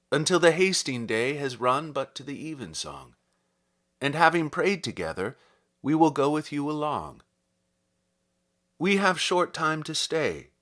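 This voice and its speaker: A man reading classic poetry aloud in a theatrical, deliberate and expressive manner, as a podcast host. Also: medium pitch. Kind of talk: dramatic poetry recitation.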